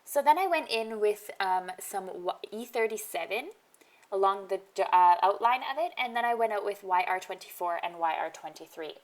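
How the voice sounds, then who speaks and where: A woman's voice: mid-range voice, lively intonation, moderate pace. A woman hosting a card-making tutorial, talking casually through each step in a voice-over, sounding nasal from a cold she is getting over. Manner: chatty, explains each step, frequent ums.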